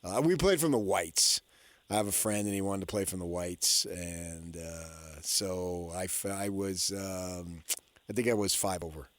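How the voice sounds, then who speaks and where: A man's voice: low-pitched, unhurried, dry recording. A middle-aged man hosting sports radio, talking casually and thinking aloud as he rambles along, close to the microphone.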